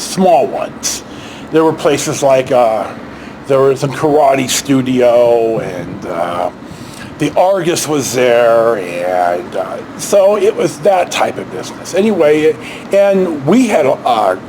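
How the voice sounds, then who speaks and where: A man casually telling a personal anecdote as a vlog host, in a halting, rambling, blunt way with long hesitations. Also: fairly high pitch, background noise.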